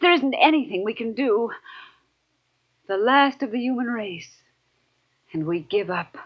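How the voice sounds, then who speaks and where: An actress playing an earnest human survivor in a 1950s radio drama, with a dramatic, emotional delivery. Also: female voice, fairly high pitch, animated, unhurried. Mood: anxious, despairing. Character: earnest, anxious young woman, last human female survivor. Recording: muffled vintage radio recording.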